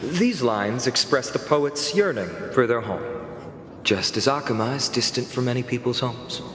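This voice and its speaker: A man's voice: mid-range voice, expressive, moderate pace, natural voice. A man voicing a lilting, playful warrior-scholar, echoing hall. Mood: teasing, thoughtful. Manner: theatrical, lilting, poetic phrasing. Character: playful, eloquent male warrior-scholar, teasing his proud friend. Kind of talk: video game voice acting. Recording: echoey reverberant hall, music behind.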